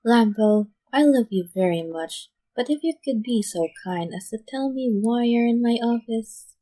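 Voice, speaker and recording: A woman's voice: a little high, expressive, moderate pace, warm tone, pitched above the performer's own voice, low-quality recording. A woman plays a young man in charge, worn out and exasperated. He speaks with long-suffering patience as he gives advice in an audio story. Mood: strained patience. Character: weary, exasperated young male mafia boss giving advice.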